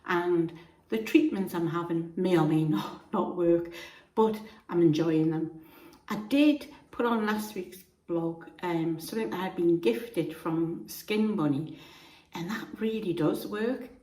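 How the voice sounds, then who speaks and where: Chatty woman with a croaky voice, echoey room. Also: fairly low pitch, unhurried, dark tone. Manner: chatty, homely, rambling with restarts. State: croaky from being unwell. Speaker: vlogger. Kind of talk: casual chat to camera.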